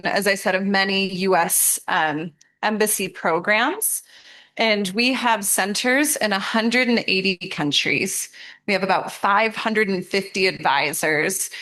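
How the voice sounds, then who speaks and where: A woman's voice: medium pitch, fairly bright tone. Encouraging woman presenter. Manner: encouraging, affirming. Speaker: program presenter and host. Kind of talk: interactive presentation to students.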